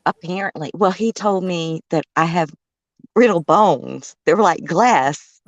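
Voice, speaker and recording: A woman's voice: fairly low pitch, takes it slowly, dry recording, lo-fi sound. Dry-voiced playful middle-aged woman. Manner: playful, teasing, laughs easily. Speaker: guest, host's mother. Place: parked car.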